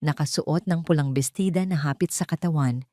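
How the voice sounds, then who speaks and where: A woman's voice: sits low, a little fast, warm tone, no echo, studio quality. A woman with a Filipino accent narrating a scripted story in an emotive, theatrical way. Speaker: storyteller narrating an audio drama.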